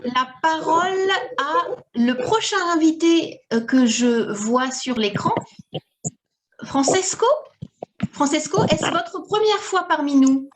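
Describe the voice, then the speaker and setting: High-voiced woman hosting an online meeting. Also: animated, slow pace, noisy background. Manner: warm, encouraging, polite. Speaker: meeting host. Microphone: video call.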